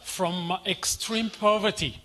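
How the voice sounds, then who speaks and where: A man's voice: high voice, fairly even intonation, takes it slowly, bright, forceful tone. A middle-aged man preaching as a guest pastor, speaking in short phrases and addressing his listeners directly. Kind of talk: sermon to a congregation.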